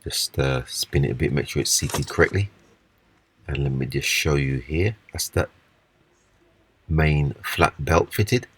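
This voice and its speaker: Very deep-voiced husky man. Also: a little slow, pauses often. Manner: unscripted, step-by-step explaining. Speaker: repair demonstrator. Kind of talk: casual hands-on repair walkthrough.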